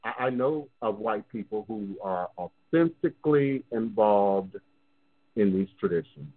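A man's voice: on the low side, animated, measured pace. A man with a New Orleans accent, hosting a live call-in talk show as a voodoo priest, speaking emphatically and repeating phrases for stress. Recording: lo-fi phone-line sound.

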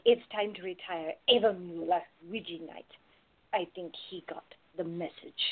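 Lady playing a husky tough biker chick in a radio play, bold, thin phone-like audio. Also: on the low side, normal speed. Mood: relaxed. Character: bold menacing woman in tough biker disguise, tender underneath.

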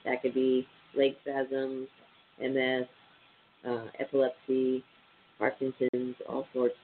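Stuffy-nosed man hosting call-in radio. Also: a little high. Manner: chatty, many ums and uhs, reading out numbers. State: stuffy, nasal from allergies. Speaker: radio show host. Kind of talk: casual talk radio, reading statistics. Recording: tinny phone-line sound with faint hiss.

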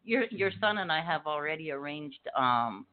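Brief: teasing male co-host, high voice, lo-fi narrow recording. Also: lively intonation, moderate pace, clear, resonant tone. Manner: teasing, sarcastic. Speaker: radio co-host. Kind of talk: casual banter. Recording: narrow phone-like sound.

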